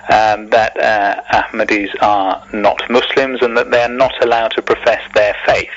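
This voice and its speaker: A man giving earnest, measured radio interview answers as a community spokesman, in a hesitant, halting way with repeated words. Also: on the low side, a bit bright. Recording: muffled lo-fi radio recording.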